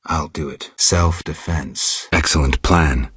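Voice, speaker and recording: A world-weary, wry monster hunter from a fantasy video game. His voice is extremely deep and heavily husky, recorded close and dry. He speaks in terse, restrained, deadpan phrases with a gruff, stoic temper. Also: slow, dry recording, exaggerated voice. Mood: quietly menacing, dry. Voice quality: gravelly, husky low voice. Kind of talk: video game character dialogue.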